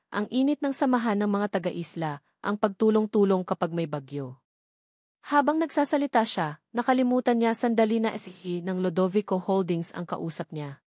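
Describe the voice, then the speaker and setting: Casting: female storyteller for romance fiction, middle-pitched voice, very little room sound, scripted delivery. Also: darkish tone, no echo, clean studio sound. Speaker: story narrator.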